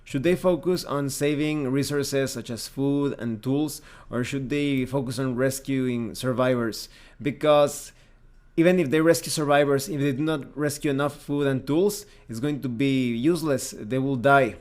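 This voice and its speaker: A man's voice: mid-range voice, steady tone, normal speed. A man presenting a review in an explanatory, methodical way, as the host of a tabletop game channel. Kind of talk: semi-scripted review narration, explanatory.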